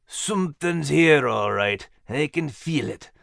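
A man voicing a gruff, playful terrier in a children's storybook, gravelly, blunt and teasing. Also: a little high, lively intonation, takes it slowly, fairly bright tone, no room sound, studio quality, exaggerated voice. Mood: wary. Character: gruff, playful male Scottish terrier, hearty and plainspoken. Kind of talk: animated children's storybook character reading.

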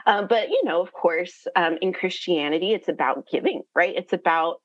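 A woman's voice: on the low side, normal speed, no room sound, muffled recording. A woman who works as a teacher, talking candidly on a casual podcast, laughing and correcting herself as she goes.

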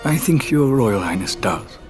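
Older male courtier played by a man, audio fairytale drama: self-effacing, gentle, formal, medium-pitched, music behind. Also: expressive, measured pace, natural voice. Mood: tactful, wistful. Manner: formal, deferential.

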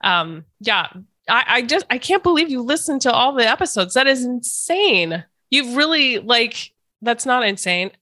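A woman's voice: on the high side, sing-song, a little slow, pushed and bright. A woman hosting a casual interview podcast, chatty and hesitant with many fillers and restarts, a little stuffy from a cold. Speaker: podcast host.

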